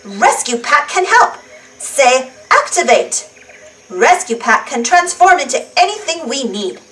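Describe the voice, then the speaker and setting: A woman reading a storybook aloud to young children in an animated, deliberate way, stressing long words. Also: high-pitched, distant mic, rough recording.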